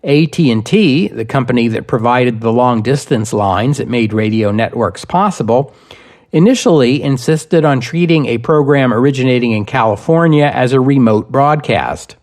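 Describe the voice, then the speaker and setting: A man narrating scripted history as a podcast host, in measured, deliberate phrasing. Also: mid-range voice, a little mellow.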